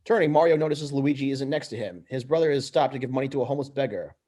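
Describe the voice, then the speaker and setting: A man's voice: mid-range voice, racing, warm tone. A man reading screenplay stage directions aloud as narrator. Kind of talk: read-aloud script narration.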